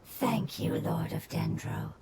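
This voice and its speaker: A woman voicing a solemn, gentle nonhuman creature in a video game, with formal, measured, grave delivery. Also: low-pitched, gravelly, a little hiss or hum, exaggerated voice. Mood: solemn gratitude. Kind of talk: video game character acting.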